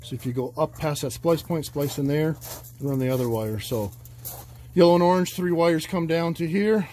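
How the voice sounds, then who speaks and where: A man's voice: a little high, moderate pace, a little mellow, a little hiss or hum, poor audio. A man working as an auto mechanic, talking through a repair in a loose, rambling way with plenty of fillers. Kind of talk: casual repair walkthrough. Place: garage, under a truck.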